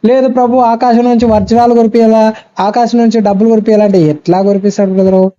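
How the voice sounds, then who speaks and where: A man's voice: high voice, fairly even intonation, fast talker, dark tone. A man with a Rayalaseema Telugu accent giving earnest, admonishing advice as a preacher, repeating phrases and checking that the listener agrees. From Kurnool, Andhra Pradesh, India. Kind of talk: advisory phone conversation. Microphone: phone call. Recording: dull lo-fi phone call recording.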